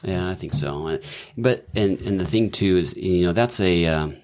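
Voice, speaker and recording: A man's voice: low-pitched, moderate pace, darkish tone. A middle-aged man, a doctor and businessman, talking as a podcast guest in a thoughtful, rambling way with many fillers and restarts. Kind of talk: casual conversational interview.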